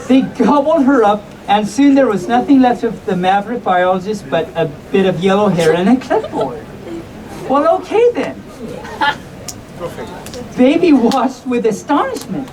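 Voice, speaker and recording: A woman reading comic bedtime stories to a live audience, playful and often interrupting herself with chatty asides. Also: a little high. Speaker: live storyteller. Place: hotel convention room before a live audience. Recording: distant mic, noisy audience around.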